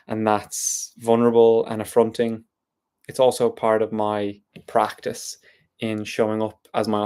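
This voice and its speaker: A man's voice: fairly low pitch, darkish tone. An Irish man talking through an unscripted, reflective vlog monologue in a thoughtful, meandering way. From Ireland. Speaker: vlogger.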